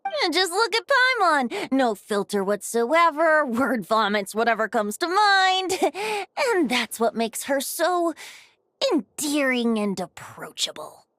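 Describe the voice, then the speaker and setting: A woman's voice: high voice, very animated, slow, a bit bright, exaggerated voice. A woman voicing a whimsical, teasing young bard with a theatrical, poetic delivery in a video game. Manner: theatrical, lilting.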